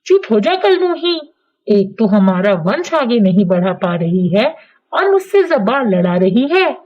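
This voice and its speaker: A woman's voice: medium pitch, sing-song, measured pace. A woman voicing a bitter, nagging older female crow mother-in-law in a cartoon story, harsh and lamenting. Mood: angry scolding. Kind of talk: Hindi cartoon moral story dialogue. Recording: dull, lo-fi sound.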